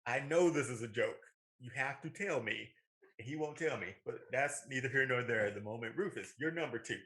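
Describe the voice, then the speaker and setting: A man's voice: mid-range voice, fairly quick, fairly bright tone. A man co-hosting a casual podcast, talking emphatically and rambling as he corrects himself. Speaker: podcast co-host. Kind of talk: casual animated movie discussion.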